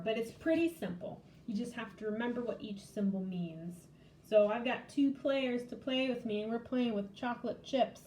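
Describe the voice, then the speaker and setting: A woman's voice: medium pitch, a little mellow, a little hiss or hum. A woman hosting a children's library storytime, casually showing a craft and game step by step in simple words.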